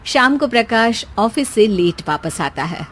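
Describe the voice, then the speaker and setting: A woman narrating a cartoon moral story in calm, scripted storytelling. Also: fairly high pitch, unhurried.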